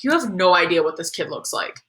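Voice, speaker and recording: A woman's voice: fairly low pitch, lively intonation, fairly quick, a bit bright. A woman voicing a blunt, exasperated schoolboy, the older brother, arguing in casual kid slang in a story reading. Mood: insistent. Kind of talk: audiobook character dialogue.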